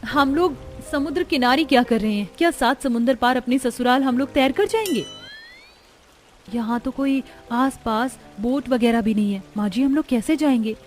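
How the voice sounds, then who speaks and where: A woman acts out a young daughter-in-law in a cartoon family drama. She has a high voice, fretful and talkative, full of questions and exclamations, with music behind her. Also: normal speed, lightly acted. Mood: worried. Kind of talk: Hindi cartoon dubbing.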